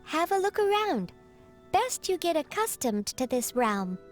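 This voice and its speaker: Video game role: a polite little teapot spirit butler, high-pitched, stately and a touch forgetful. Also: female voice, big pitch swings, a little slow, faint music behind, exaggerated voice. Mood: measured, helpful. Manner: formal, stately, slightly absent-minded. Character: small courteous teapot spirit butler in bird form. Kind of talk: video game character dialogue.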